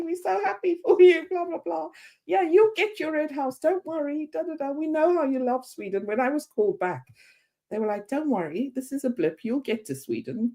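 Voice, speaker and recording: A woman in her fifties from Zimbabwe, a guest on a podcast interview, telling her story with lively quoted voices. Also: fairly high pitch. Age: 50s.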